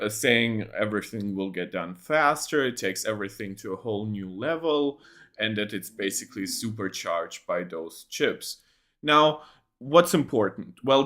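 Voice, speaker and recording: A man's voice: on the low side, fairly bright tone. A young man hosting a casual tech reaction livestream from a home setup on a desk mic, rambling with many ums and restarts.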